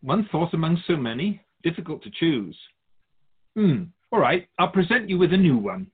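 A man playing a wise, curious otherworldly spirit guide in a radio drama, musing formally with gentle wry humour. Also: on the high side, very animated, moderate pace, breathy edge. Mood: musing, hopeful. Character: Keeper of Souls Purpose, wise curious otherworldly being. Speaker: spirit guide being interviewed. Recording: muffled phone-like narrow band.

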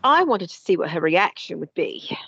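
An actress playing a nosy, impulsive middle-aged daughter who defends herself with a laugh, in an audio drama. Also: female voice, on the low side, sing-song, normal speed, gravelly, a bit bright, husky, exaggerated voice. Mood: defensive. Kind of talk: amateur audio drama acting. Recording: dull lo-fi dry recording.

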